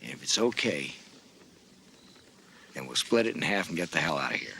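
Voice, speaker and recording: An actor playing a jaded border patrol agent in a film, talking in a dry, world-weary way. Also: male voice, a bass voice, big pitch swings, normal speed, gravelly, sharp tone, a little hiss or hum, exaggerated voice. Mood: scheming. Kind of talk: film dialogue.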